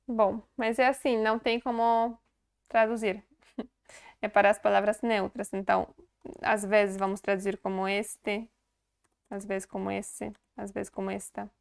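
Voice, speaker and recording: Lady teaching, chatty, slow repeats, smooth clear voice. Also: mid-range voice. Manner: chatty, laughs often, repeats words slowly. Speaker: language teacher. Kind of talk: casual video lesson.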